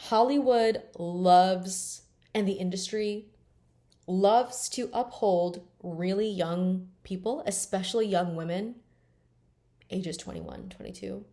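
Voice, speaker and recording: A woman's voice: medium pitch, slow pace, dark tone. A woman in her late twenties talking bluntly and emphatically in a candid personal vlog, swearing freely. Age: 20s. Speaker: vlogger.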